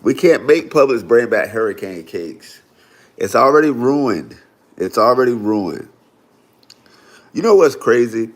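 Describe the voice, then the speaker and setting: A man's voice: medium pitch, expressive, a little slow, long pauses. A man, talking casually and loosely to viewers on a livestream. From Florida. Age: 40s. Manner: loose, chatty, lots of "y'all" and "right?". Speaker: livestream host.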